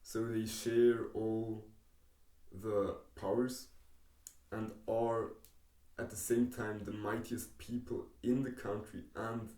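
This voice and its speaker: A young Swiss man, whose first language is not English, talks through an explainer in a low, mellow voice. He speaks slowly, halting on frequent ums and pauses. The recording is roomy and echoey, with the mic set some distance away. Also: flat delivery. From Switzerland. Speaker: educational YouTuber. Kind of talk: explainer narration over diagrams.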